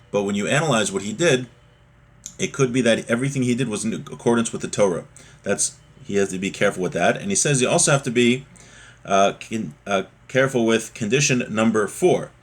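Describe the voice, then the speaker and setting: A man with a slightly husky voice giving a religious text lesson, reading aloud and explaining, repeating and rephrasing points for emphasis. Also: fairly low pitch, fairly bright tone. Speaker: religious teacher giving a class.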